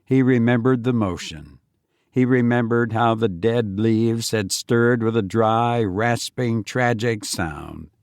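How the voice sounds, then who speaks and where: A man narrating a story dramatically as an audiobook reader, deliberate and solemn, with weighty pauses. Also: medium pitch, no echo, studio quality.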